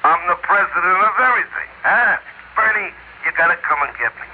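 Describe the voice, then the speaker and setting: Boozy pleader on tinny phone. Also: male voice, high-pitched, lively intonation, a little fast. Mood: boozy pleading. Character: drunken, pleading, grandiose buddy phoning for help. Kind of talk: old-time radio comedy-drama acting. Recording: noisy, tinny telephone line.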